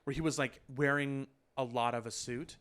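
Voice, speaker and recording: Man, casual podcast co-host, mid-pitch, loose rambling delivery with restarts. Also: fairly even intonation, normal speed, fairly bright tone, clean tone. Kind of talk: casual chat.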